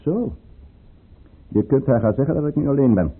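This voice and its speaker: A man's voice: medium pitch, lively intonation, moderate pace, husky. An actor playing a calculating, commanding statesman in a radio play, speaking formally and theatrically. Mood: brisk, commanding. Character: calculating, commanding statesman with secrets, in a troubled love affair. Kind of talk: Dutch radio play acting. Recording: muffled, phone-like old radio play recording.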